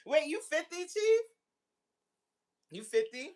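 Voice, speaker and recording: A woman in her forties hosts a casual livestream chat. Her voice is bright and forceful, with very lively swings in pitch. She talks in a teasing, slangy, giggly way. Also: very slow, creaky. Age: 40s. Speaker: livestream host. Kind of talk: casual chat with live viewers reading comments.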